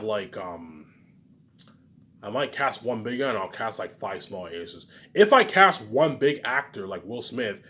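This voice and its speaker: A man rambling in a casual monologue, repeating himself, jumping between loud bursts and mumbled trailing endings. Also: mid-range voice. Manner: repetitive, keeps saying "man" and "obviously". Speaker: vlogger.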